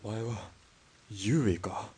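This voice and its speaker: A man playing a calm, loyal-hearted hired killer in a 1920s Japanese radio drama, speaking quietly in terse, composed lines. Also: lowish voice, a little slow, breathy edge. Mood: concerned.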